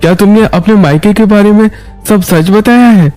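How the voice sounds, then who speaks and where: A man voicing a gentle, concerned young husband in a Hindi moral story, reassuring and considerate. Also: high-pitched, moderate pace, no echo, faint music behind, close to an everyday voice.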